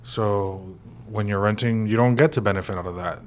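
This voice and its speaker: Casting: forties male co-host, lower pitch, loose rambling delivery with fillers. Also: moderate pace. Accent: American. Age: 40s. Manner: relaxed, rambling with many fillers. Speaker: real estate podcast co-host. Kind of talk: casual conversational chat.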